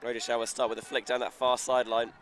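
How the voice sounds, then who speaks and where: A man's voice: mid-range voice, little pitch movement, fast, fairly bright tone. A man giving live play-by-play commentary on a frisbee match, following the action in flowing present-tense calls that rise with the play. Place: outdoors beside a sports field. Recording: outdoor crowd and traffic noise. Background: crowd and outdoor ambience.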